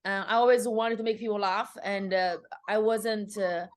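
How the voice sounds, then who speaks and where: A woman's voice: mid-range voice, fairly even intonation, normal speed, no room sound, studio quality. A woman in her thirties from China, speaking with a Chinese accent, telling her story as a comedian guest in an interview with many fillers.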